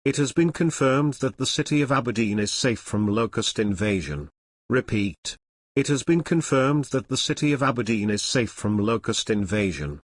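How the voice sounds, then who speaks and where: A man's voice: mid-range voice, expressive, moderate pace. A man reading a formal, scripted emergency broadcast announcement.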